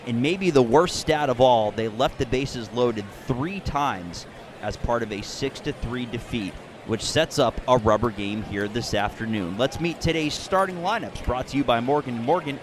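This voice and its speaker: A man calling baseball as a radio play-by-play announcer, with measured, clearly phrased delivery and sponsor reads worked into the commentary. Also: medium pitch, background noise.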